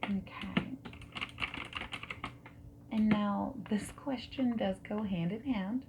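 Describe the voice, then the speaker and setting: Slow, soft, whispery woman playing a dating interviewer. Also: mid-range voice, lo-fi sound. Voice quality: breathy, often slipping into whisper. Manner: gentle, unhurried, reassuring, asking questions. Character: friendly, nonjudgmental dating service interviewer. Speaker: ASMR roleplay performer. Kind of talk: soft-spoken, whispery ASMR roleplay. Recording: background noise with keyboard typing and clicks.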